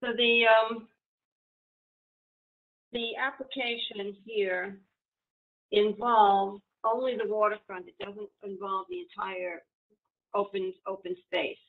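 A woman reporting a committee's findings at a public board meeting, pausing often with fillers and restarts. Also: medium pitch, steady tone. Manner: halting, many fillers and restarts. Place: home, video call. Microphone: video call. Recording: tinny phone-like video call audio.

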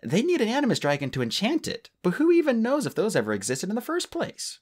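A fierce, bossy young she-dragon, voiced by a man, set high above his own voice. She talks in bold commanding bursts with a very lively, swooping pitch. A storybook reading. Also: fast talker, exaggerated voice.

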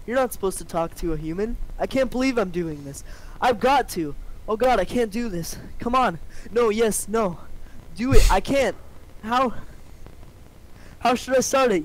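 A man's voice: sits high, lively intonation, moderate pace, slight background noise, poor audio. A man voicing a nervous, chatty young male bee, reading a film script aloud in character and dithering as he talks himself up and back down.

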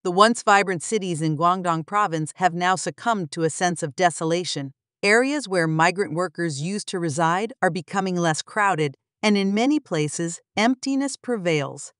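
A woman delivering scripted documentary narration in an even, explanatory newsreader manner, recorded close as a voice-over. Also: on the low side, normal speed, hardly any pauses, clean tone, no room sound. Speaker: narrator of a news channel.

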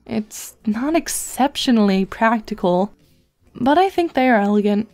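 A woman voicing a dry, precocious teenage girl in a web comic dub, speaking in a measured, formal, deadpan way. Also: on the high side. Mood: composed.

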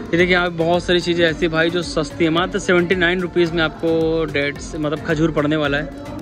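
A man with a North Indian accent giving a casual, repetitive walk-through shopping commentary as a vlogger, addressing viewers like buddies. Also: on the high side. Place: large busy supermarket, indoors. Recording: background music over store vlog audio. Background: music.

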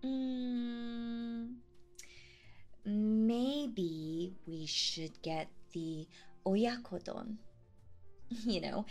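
A woman's voice: mid-range voice, darkish tone. A woman playing a young, sweetly obsessed and possessive date in an ASMR roleplay, coy and airy in manner.

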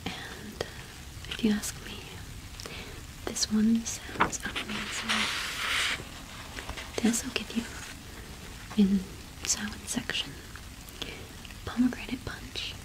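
A young woman whispering an ASMR roleplay, explaining gently and softly with unhurried pauses. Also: medium pitch, rough recording. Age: young adult. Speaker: ASMR host in a cottage witch roleplay.

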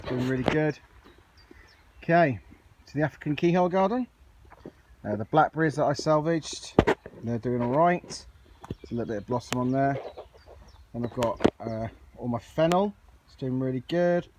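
Man, mid-pitched voice, casual gardening vlog commentary, chatty and informal, outdoor handheld recording with clear background noise. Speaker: amateur gardening vlogger. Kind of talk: casual off-the-cuff video tour commentary. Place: outdoors on an allotment. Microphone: handheld camera or phone.

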